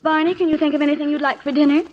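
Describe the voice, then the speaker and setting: Casting: actress as fussing wife and mother, high voice, muffled vintage radio sound. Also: level tone, very fast, crowd noise, close to an everyday voice. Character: attentive, slightly exasperated young housewife and mother. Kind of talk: 1950s radio comedy acting. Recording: muffled vintage radio recording, clear noise.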